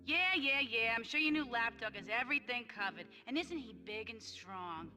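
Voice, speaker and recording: Gang boss's moll, highish voice, mocking, flirtatious, streetwise, crime game, background music. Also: female voice, moderate pace, pushed and bright. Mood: sarcastic. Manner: sarcastic, teasing. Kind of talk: video game voice acting. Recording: music clearly behind the voice.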